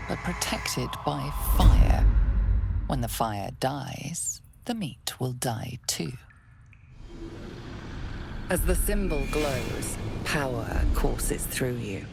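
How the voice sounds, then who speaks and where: An actress playing an ominous storyteller in a dark fantasy game, narrating in a breathy, gravelly, deliberate voice that often nears a whisper. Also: female voice, very deep voice, heavily acted. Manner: slow, menacing, deliberate, often near whisper. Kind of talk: scripted second-person game narration.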